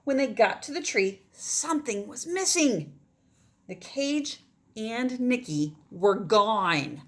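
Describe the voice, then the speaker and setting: A woman's voice: a little high, slow. Woman reading a picture book aloud. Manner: expressive, pointing out pictures to listeners. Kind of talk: children's read-aloud with casual asides.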